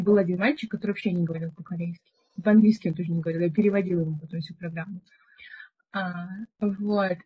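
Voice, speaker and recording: Young woman, husky tone, talkative storyteller full of fillers; muffled, dull recording. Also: medium pitch. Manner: chatty storyteller, many fillers. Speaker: podcast co-host. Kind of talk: casual chat.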